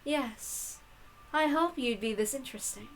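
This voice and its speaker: A woman's voice: high voice, unhurried, faint music behind, rough recording. A woman voicing a smug, bored woman novelist who claims to be a witch, speaking formally and with condescension. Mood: amused.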